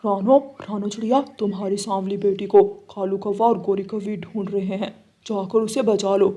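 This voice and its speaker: A woman's voice: mid-range voice, flat delivery, moderate pace, warm tone, close to an everyday voice. A woman voicing an adult male pigeon messenger giving urgent warnings in a cartoon. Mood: alarmed.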